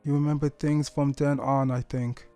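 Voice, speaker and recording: A man voicing a gentle, loving middle-aged father pony in an audio story, speaking in an earnest, tender, measured way. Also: medium pitch, flat delivery, soft background music, close to an everyday voice. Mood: regretful.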